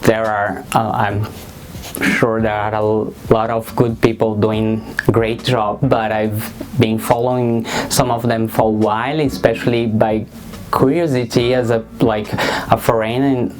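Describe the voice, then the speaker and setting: Slow, measured man. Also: lowish voice, little pitch movement, poor audio. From Brazil. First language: Portuguese. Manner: measured, pauses with frequent ums. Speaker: guest, HIV activist. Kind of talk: thoughtful interview answers.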